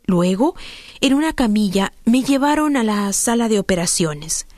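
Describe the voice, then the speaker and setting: A woman's voice: mid-range voice, lively intonation, moderate pace, a little mellow, no room sound, clean studio sound. A woman, telling a personal story in a heartfelt, emotional way. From Peru. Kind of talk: read personal testimony.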